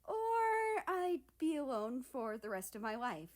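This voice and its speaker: A woman's voice: high-pitched, sing-song, slow, voice pushed up, exaggerated voice. A woman voicing a shy, lovestruck young female character, hesitant and earnest, in a story reading.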